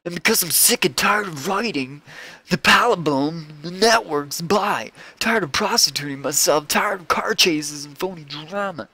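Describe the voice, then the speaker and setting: A man's voice: fairly high pitch, normal speed, pushed and bright, breathy edge, dry recording, full character voice. Man as indignant, sputtering television writer. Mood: outraged. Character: angry, neurotic male television writer, ranting and self-pitying.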